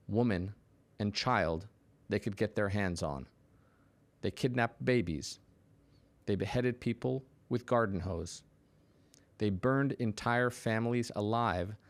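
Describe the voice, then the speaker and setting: Emphatic, low-voiced man delivering political commentary. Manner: emphatic, punchy, dramatic pauses between short phrases. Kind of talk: scripted monologue to camera, political commentary.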